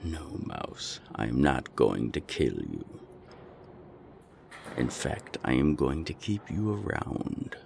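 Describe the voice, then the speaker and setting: A man playing a menacing naga gang boss in an audio roleplay, gravelly and deliberate, with cold, taunting threats. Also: huge pitch swings, a little mellow, slight background noise, exaggerated voice. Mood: quiet possessive menace. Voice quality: very deep, gravelly. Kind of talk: audio roleplay drama acting.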